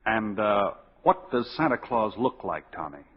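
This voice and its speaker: Defense attorney, courteous, measured, husky, expressive pitch, vintage 1940s radio. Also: male voice, dull audio. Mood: gentle, courteous. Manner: polite, measured, hesitant under pressure. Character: gentle, courteous male defense lawyer.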